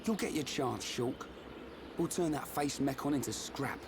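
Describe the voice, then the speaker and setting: A man's voice: mid-range voice, lively intonation, normal speed, background noise, natural voice. A man playing a cocky, loyal young fighter in a video game. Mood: encouraging. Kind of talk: video game cutscene acting.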